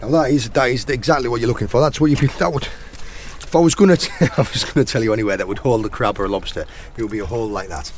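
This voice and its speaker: A hoarse, croaky middle-aged man talks casually while foraging outdoors on a rainy rocky shore. His pitch sits in a middle range, and he keeps up a chatty flow. A faint hiss of noise runs under the voice. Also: fast talker, few pauses. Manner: chatty, points things out as he goes. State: hoarse, croaky sore throat. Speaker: channel host and foraging guide.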